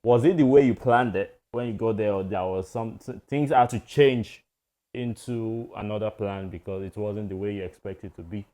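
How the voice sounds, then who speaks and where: Low-voiced probing male host. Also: normal speed, warm tone. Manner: probing, restates and checks answers. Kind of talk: casual interview.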